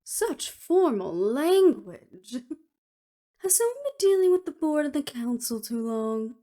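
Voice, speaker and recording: A woman's voice: fairly high pitch, slow, darkish tone. Woman playing a teasing, sardonic estranged friend. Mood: mocking, amused. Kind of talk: audio roleplay acting.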